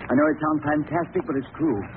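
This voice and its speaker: A man's voice: a little high, fairly even intonation, quick pace, natural voice. An actor playing an anxious, troubled man earnestly pleading for help, in 1940s radio mystery style. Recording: scratchy tinny old radio broadcast, noisy.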